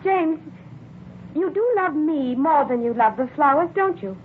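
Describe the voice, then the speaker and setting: An actress playing a jealous, anxious wife, plaintive and emotional, in 1940s radio drama style on a noisy, muffled old recording. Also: female voice, high voice, animated, measured pace. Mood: anxious, pleading. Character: jealous, anxious young-hearted wife, fretful and pleading.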